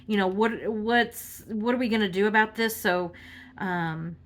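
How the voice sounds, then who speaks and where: A woman reading tarot cards in a casual, halting monologue full of fillers, sounding congested from a cold. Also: medium pitch, fairly bright tone. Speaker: tarot reader.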